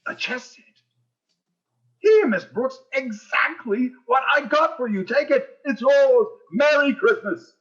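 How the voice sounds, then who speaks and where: A man's voice: very high-pitched, lively intonation, slow pace, a bit bright, highs cut off, heavily acted. A man playing a pompous, blustering, tight-fisted principal in a theatrical radio sitcom style. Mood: hasty, unctuous. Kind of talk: 1940s radio sitcom acting on stage.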